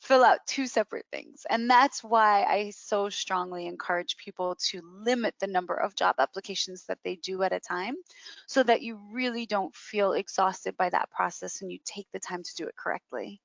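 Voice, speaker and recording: A woman's voice: medium pitch, moderate pace, creaky, dry recording, lo-fi sound. A woman giving explanatory career advice in a webinar, emphatic and stressing key words by repeating them. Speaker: career coach presenting a webinar.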